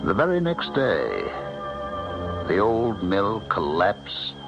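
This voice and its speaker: Deep, grave small-town doctor. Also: male voice, wildly swooping pitch, slow, vocal fry, heavily acted. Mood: grave storytelling. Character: small-town male doctor, cautious, grave, narrating his tale. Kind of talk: 1970s radio drama acting and narration. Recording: muffled old radio broadcast, music behind.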